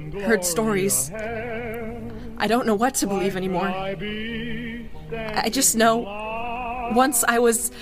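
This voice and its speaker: An actress playing a troubled, weary, earnest woman who speaks hesitantly in a radio drama. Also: a little high. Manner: hesitant, halting. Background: music.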